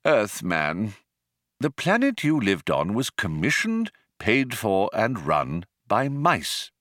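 A man acts out an elderly alien craftsman from an audiobook, genial and a little vague. His pitch swings widely as he explains things with mild, courteous understatement, recorded close and dry. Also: huge pitch swings, unhurried, fairly bright tone, dry recording, exaggerated voice. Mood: patient, courteous. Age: older. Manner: polite, mild, cheerfully understated. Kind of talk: audiobook character voice.

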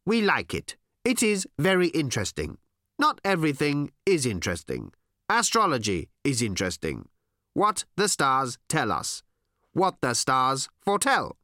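A storyteller voicing a naive, earnest space alien who speaks in stilted, literal, drawn-out phrases. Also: medium pitch, fairly bright tone, dry recording, studio quality, voice pushed up.

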